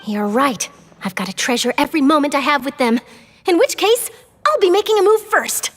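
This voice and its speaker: A woman's voice: high-pitched, expressive, brisk, in a large hall, faint music behind. An actress playing an eager, chatty, persistent young female news reporter in a video game, with a bubbly, pushy manner. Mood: chatty, confident. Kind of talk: animated video game voice acting.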